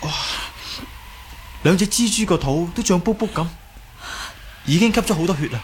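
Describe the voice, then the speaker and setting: Earnest young Cantonese hero over clear noise. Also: male voice, high voice, expressive, moderate pace. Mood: amazed, concerned. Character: concerned, good-natured young martial arts hero. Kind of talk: Cantonese audio drama acting. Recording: clearly noisy background.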